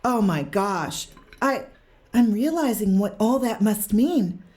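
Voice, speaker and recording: A woman's voice: mid-range voice, expressive, slow, darkish tone. A woman voicing an earnest, eager female ship purser in a tabletop game.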